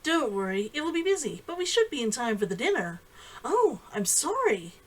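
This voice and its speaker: A woman's voice: sits high, very animated, moderate pace. A woman reading a storybook part as an irritable young nobleman with a blunt manner. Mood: apologetic.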